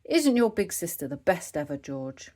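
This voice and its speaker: Woman playing a storybook father pig, kindly, encouraging, patient, animated intonation. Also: fairly low pitch, moderate pace. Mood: proud. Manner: gentle, approving, patient.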